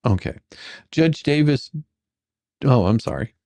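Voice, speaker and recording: A performer playing a bumbling, sarcastic questioning attorney in a comedic deposition reading, halting and theatrical. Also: medium pitch, animated, gravelly, darkish tone, no room sound. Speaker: dramatic reader.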